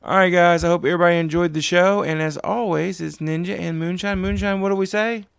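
A man in his forties hosting a casual podcast, rambling along with restarts and wry asides. His voice is gravelly and sits a little high for a man, recorded dry and close. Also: steady tone, a little fast, no echo. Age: 40s. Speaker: podcast host.

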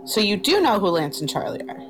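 A woman's voice: mid-range voice, very animated, fairly quick. A woman chatting casually at a tabletop game, full of curious questions. Music plays behind her voice. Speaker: player.

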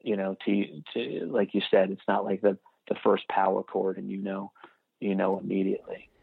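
Male interviewee, low gravelly voice with husk, casual talk, narrow telephone-like sound. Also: steady tone, normal speed, dry recording. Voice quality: husky, gravelly. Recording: thin phone-like line.

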